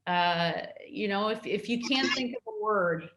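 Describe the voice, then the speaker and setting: A middle-aged woman from New York, a consul answering interview questions in a halting way, with many fillers and restarts. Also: medium pitch, fairly bright tone, roomy sound. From New York, United States.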